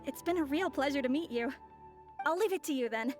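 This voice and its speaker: A woman voicing a polite, composed young female traveler in a video game, courteous, measured and gently inquisitive. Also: high voice, soft background music, close to an everyday voice. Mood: earnest, courteous.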